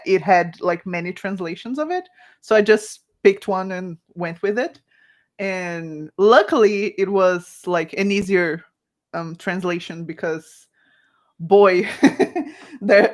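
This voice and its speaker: Chatty woman, native Portuguese speaker. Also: mid-range voice. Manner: animated, many fillers and restarts. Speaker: guest author. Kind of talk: casual livestream chat.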